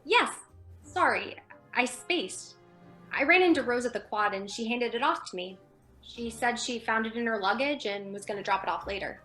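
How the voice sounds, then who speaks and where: A young woman playing a hesitant, appeasing college roommate in a dramatic reading, halting and placating. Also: fairly high pitch, sharp tone, soft background music. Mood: uneasy, placating.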